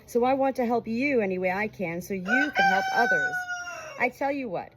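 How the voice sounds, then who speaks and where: A woman's voice: fairly high pitch, expressive, normal speed, heavy background noise, pitched above the performer's own voice, natural voice. A woman voicing an open, tender young mother who is dying, in a heartfelt storybook reading. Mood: earnest, upbeat.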